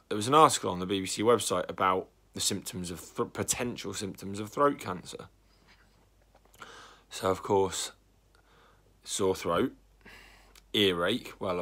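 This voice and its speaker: A young man talking anxiously in a casual vlog, congested and a little raspy from a cold, clearing his throat often. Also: sits low, sharp tone. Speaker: vlogger.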